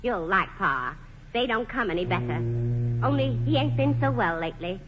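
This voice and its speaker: A woman's voice: medium pitch, animated, moderate pace, close to an everyday voice. An actress playing a plain-spoken, folksy small-town woman in a 1940s radio play. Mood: chatty, homespun. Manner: folksy, homely turns of phrase. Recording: muffled, hissy old radio broadcast.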